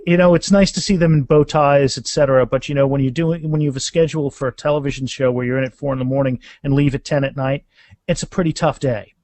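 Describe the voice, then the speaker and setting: A man's voice: medium pitch, steady tone, quick pace, darkish tone, no room sound. A man speaking as an author and interview guest, telling anecdotes in a casual, rambling conversational way.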